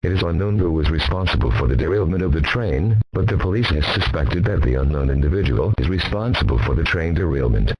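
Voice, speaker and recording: A man's voice: very deep voice, lively intonation, a little fast, hardly any pauses, a little mellow, breathy edge, no echo, heavily acted, low-quality recording. A man voicing an impersonal emergency alert announcer, reading a formal scripted warning. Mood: impersonal, urgent warning.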